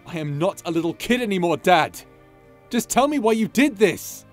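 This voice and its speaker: A man's voice: high-pitched, expressive, moderate pace, soft background music. A man playing a hot-headed, hurt young son who confronts his father in an audio drama, indignant and stammering. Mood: hurt, angry. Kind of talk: scripted audio roleplay drama.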